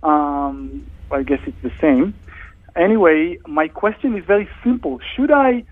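A man's voice: fairly high pitch, animated, a little slow, no echo, phone-quality sound. A man with an Israeli accent calling in to a radio show, asking plain, direct questions. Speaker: caller. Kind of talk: phone-in question.

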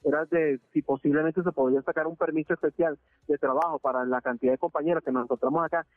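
A man from Venezuela speaks over a thin, tinny phone line. His voice sits a little high for a man, and he talks earnestly, stopping and restarting often with filler sounds. The sound is dry and close. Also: fairly even intonation, quick pace, no echo. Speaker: interviewee, deported migrant. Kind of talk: phone interview testimony.

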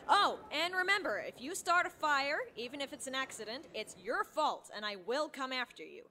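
A woman's voice: sits high, sing-song, moderate pace, sharp tone, slight background noise, full character voice. A woman playing a prickly, bossy park ranger in an audio drama, curt and officious. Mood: stern, threatening. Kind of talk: audio drama announcement to visitors.

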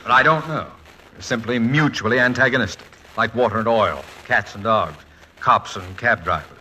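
An American actor playing a witty, proud, fretful middle-aged college president and husband in a wry, articulate 1940s radio comedy-drama style. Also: male voice, lowish voice, normal speed, bright, forceful tone. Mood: indignant. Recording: noisy 1940s radio recording.

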